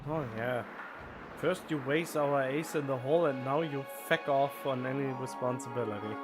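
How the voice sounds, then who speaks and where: A man's voice: mid-range voice, moderate pace. Sardonic male game streamer. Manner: sardonic, blunt. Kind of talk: casual playthrough commentary. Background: game music.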